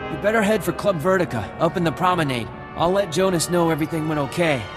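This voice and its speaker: A man's voice: fairly high pitch, fast, close to an everyday voice. An actor playing a harried, grateful male undercover operative in a video game, friendly in manner. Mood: friendly, weary. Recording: loud game music behind.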